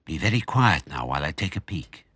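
Man voicing an animated, clever father fox. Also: rumbling low, brisk, husky, dry recording, full character voice. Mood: cautious. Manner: exclamatory, boastful, affectionate. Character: clever, excitable, commanding father fox, fond of his children. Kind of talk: children's storybook character voice.

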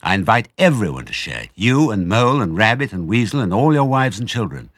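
A man voicing a confident, crafty father fox in a storybook, brisk, courteous and conspiratorial. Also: on the low side, very animated, a bit bright, no echo, pitched above the performer's own voice. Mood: assured, courteous.

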